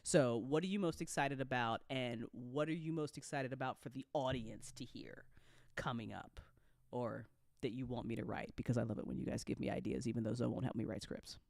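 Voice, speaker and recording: A woman hosting a casual after-show chat, telling stories in an animated way with acted-out quotes and casual swearing. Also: rumbling low, brisk, creaky, dry recording, studio quality. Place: online group voice call.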